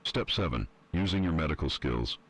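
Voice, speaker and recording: A man playing a cold, sadistic villain who reads out instructions deliberately in an analog horror video. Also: lively intonation. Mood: cold, menacing. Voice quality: very deep, husky. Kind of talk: scripted step-by-step horror narration.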